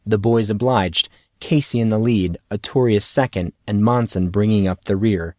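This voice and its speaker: A man's voice: lowish voice, moderate pace, dry recording, clean studio sound. A man reading a scripted fantasy story as an audiobook narrator.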